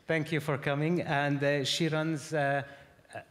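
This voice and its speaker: A man moderating a conference panel, introducing speakers with many fillers and pauses. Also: on the high side, flat delivery, fairly bright tone. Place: large conference hall. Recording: reverberant hall with audience.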